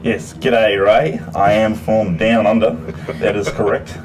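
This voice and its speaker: A man's voice: lowish voice, steady tone, measured pace, darkish tone. A man from Tasmania with an Australian accent, answering briefly and good-humoredly as a talk show guest. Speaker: guest from a hydro company.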